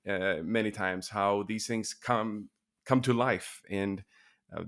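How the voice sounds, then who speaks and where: A man from Sweden with a low, gravelly voice hosts a podcast in a relaxed, unhurried way. His talk is thoughtful and full of small fillers as he leads an interview. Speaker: podcast host. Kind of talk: casual interview.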